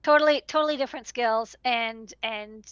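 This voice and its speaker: A woman's voice: a little high, normal speed, a bit bright, smooth, no echo, highs cut off. A woman in her thirties chatting casually on a livestream through a headset, informal and emphatic, repeating words for stress. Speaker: electronics business owner giving advice. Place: small indoor room.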